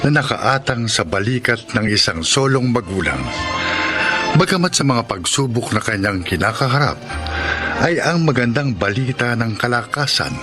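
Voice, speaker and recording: A man with a Filipino accent narrating a radio drama in a solemn, dramatic, scripted announcer's style. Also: mid-range voice. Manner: solemn, measured. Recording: voice over clear background music.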